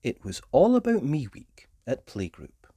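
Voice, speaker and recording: A woman reading a children's story aloud as an expressive narrator. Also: rumbling low, unhurried, husky, no echo. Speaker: storybook reader.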